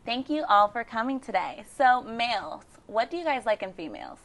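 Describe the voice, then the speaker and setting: A woman's voice: fairly high pitch, big pitch swings, fairly quick, fairly bright tone. A woman hosting and moderating a casual group discussion, putting questions to guests. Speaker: host. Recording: noticeable background noise, room ambience.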